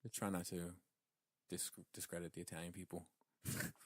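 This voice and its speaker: Male podcast co-host, deep voice, informal and sweary, close dry sound. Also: monotone, normal speed, dry recording. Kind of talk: casual geek-culture podcast chat.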